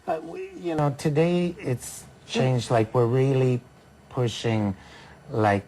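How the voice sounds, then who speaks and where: A woman who works at an English language school, talking on a TV studio chat with halting restarts and emphatic turns. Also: a bass voice, warm tone, distant mic, a little hiss or hum, poor audio.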